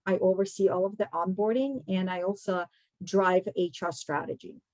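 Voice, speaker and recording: A woman from Kazakhstan speaks as a guest professional to students. Her delivery is conversational and explanatory, addressed straight to the listeners. Her pitch is average for a woman, and the sound is dry. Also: steady tone, moderate pace, darkish tone, no echo, rough recording. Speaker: guest speaker, recruiting executive. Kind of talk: career talk to students.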